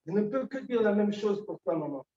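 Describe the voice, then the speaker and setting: A man in middle age delivers a eulogy, his high, soft-toned voice faltering with grief and long pauses. He is captured from well back in an echoing hall, and the recording sounds dull and muffled. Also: animated. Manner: halting, with repeated words and long pauses. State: grieving, emotionally moved. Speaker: son giving a eulogy. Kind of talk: funeral eulogy. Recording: echoey hall, distant dull mic.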